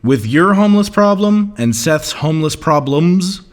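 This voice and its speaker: A man's voice: a little high, expressive, moderate pace, lightly acted. A man playing a sarcastic, scheming know-it-all coworker in an audio comedy sketch, condescending and mocking. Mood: scheming, patient.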